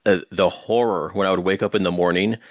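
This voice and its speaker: A middle-aged man hosting a casual interview, talking in an animated, jokey way. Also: low-pitched, quick pace, no echo. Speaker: interviewer, host.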